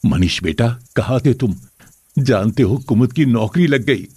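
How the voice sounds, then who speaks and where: A man voicing a male family elder in a moral-story cartoon, with a husky voice. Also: mid-range voice, expressive, measured pace, warm tone, no room sound, studio quality. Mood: pleased.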